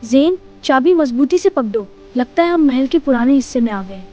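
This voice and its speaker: A woman's voice: high-pitched, expressive, normal speed, mellow, dull audio. A woman voicing a young boy adventurer in a children's cartoon, earnest, exclamatory and easily frightened. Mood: earnest wonder. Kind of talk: children's moral cartoon story acting. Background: music.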